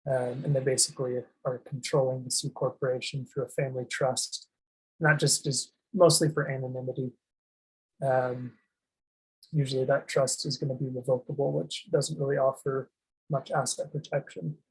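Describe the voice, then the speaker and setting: Husky monotone man explaining finance. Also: mid-range voice, low-quality recording. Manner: monotone, many ums and restarts. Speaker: asset protection presenter. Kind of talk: explaining a slide presentation.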